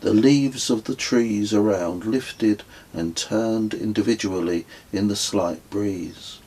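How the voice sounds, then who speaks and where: A man reading his own literary travel story as scripted narration, in a measured, descriptive way. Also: fairly low pitch, a little mellow, slightly rough, low-quality recording. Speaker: author reading own book.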